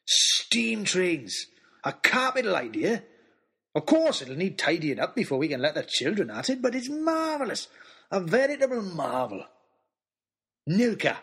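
A man's voice: very animated, measured pace, fairly bright tone, slightly rough, heavily acted. A man doing a jolly giant old host. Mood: delighted. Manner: booming, delighted, gushing exclamations.

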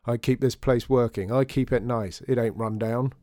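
A man's voice: mid-range voice, fairly even intonation, normal speed, dark tone, no room sound, clean studio sound, natural voice. Man voicing a growling, surly handyman. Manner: growling, resentful, clipped complaining. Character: surly, grumbling male tenement handyman.

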